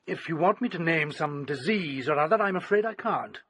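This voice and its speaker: An actor playing a cool, condescending family doctor with an English accent, formal and quietly sinister, in a radio drama. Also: male voice, fairly high pitch, animated, fairly quick, slight background noise. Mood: cool, reproving.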